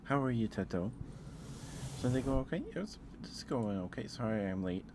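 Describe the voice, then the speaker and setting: A soft-spoken man chatting casually and intimately with viewers on an ASMR livestream. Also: mid-range voice, expressive, normal speed, background noise.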